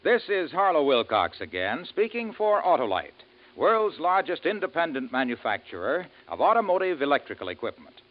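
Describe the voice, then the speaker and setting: A man's voice: fairly high pitch, sing-song, moderate pace. A man reading scripted sponsor announcements as a 1950s radio commercial announcer. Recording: muffled vintage 1950s radio recording.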